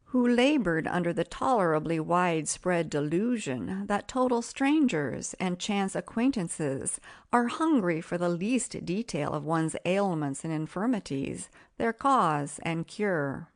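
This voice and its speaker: Female audiobook narrator: lowish pitch, measured, expressive reading of classic fiction. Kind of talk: scripted literary audiobook narration.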